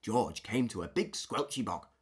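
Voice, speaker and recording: A woman reading a children's storybook aloud as an expressive narrator. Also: extremely low pitch, normal speed, slightly rough. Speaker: storybook reader.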